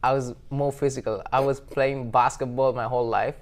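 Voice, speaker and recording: A man's voice: mid-range voice, little pitch movement, normal speed. Young Sri man, earnest and agreeable. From Sri Lanka. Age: 20s. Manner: earnest, agreeable, with many short yeses and some hesitant ums. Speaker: interview guest. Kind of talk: casual interview answers.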